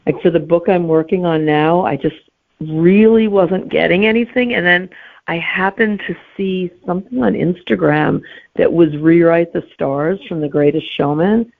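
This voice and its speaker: Middle-aged woman, creaky, on a phone-like line. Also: on the low side, normal speed. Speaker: guest author and teacher. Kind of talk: casual interview chat. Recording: narrow, tinny phone-like line.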